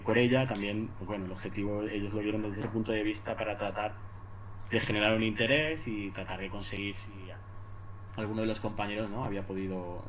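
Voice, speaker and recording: A man with an accent from Spain giving an informal academic presentation, haltingly, repeating words and adding little tag questions. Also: fairly low pitch, fairly bright tone, low-quality recording. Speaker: university lecturer presenting at an academic conference. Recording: noticeable background noise.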